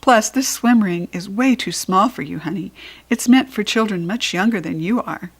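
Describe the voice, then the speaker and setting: A woman's voice: medium pitch, normal speed, slightly rough. A woman voicing a firm, practical mother in a children's storybook, reasoning in a matter-of-fact way. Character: firm, practical mother correcting her young daughter.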